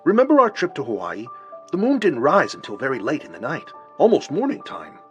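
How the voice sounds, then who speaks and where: An actor playing an obsessed male doctor poring over files on an old murder, muttering to himself and breaking into excited shouts, with music beneath. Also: a little high, fairly quick, highs cut off. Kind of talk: radio drama acting.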